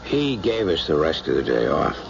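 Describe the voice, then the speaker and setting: An actor playing a reluctant, grumbling husband from the far future in an old-time radio drama. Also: male voice, rumbling low, very animated, normal speed, gravelly, heavily acted. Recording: muffled old radio recording, loud noise.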